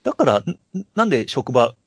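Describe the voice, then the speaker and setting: A man's voice: mid-range voice, big pitch swings, brisk, breathy edge, no echo, clean studio sound. A man hosting a podcast, chatting about films in a polite, conversational way. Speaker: podcast host. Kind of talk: casual film chat.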